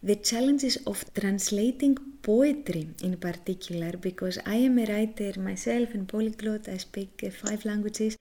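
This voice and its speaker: A woman whose first language is Greek, interviewing a guest in a soft-spoken way. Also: medium pitch, moderate pace, hardly any pauses. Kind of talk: interview questions.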